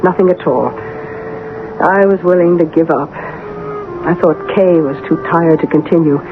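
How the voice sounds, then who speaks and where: An actress playing a brisk, sensible woman with deliberate dramatic phrasing, in the style of 1970s radio drama. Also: lowish voice, close to an everyday voice. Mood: eerie recounting. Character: brisk, sensible woman recounting an eerie experience. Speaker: actress playing narrator and lead. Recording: tinny, hissy old radio broadcast.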